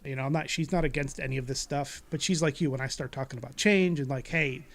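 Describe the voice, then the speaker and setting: A man's voice: medium pitch, fast. A middle-aged man hosting a casual talk show, speaking with intensity and a big voice. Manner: intense, loud, talks with big energy. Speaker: podcast host. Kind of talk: casual chat.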